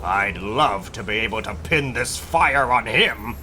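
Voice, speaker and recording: A man's voice: lowish voice, lively intonation, measured pace, bright, forceful tone, a little hiss or hum, heavily acted. A man playing a blustering, greedy newspaper boss, bossy and emphatic, in a dramatic comic book reading. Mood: gruff demanding.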